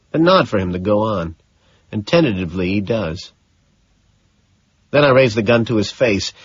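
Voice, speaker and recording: Low-voiced male audiobook narrator, slightly husky. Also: dry recording, lo-fi sound. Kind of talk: dramatic scripted narration of a novel.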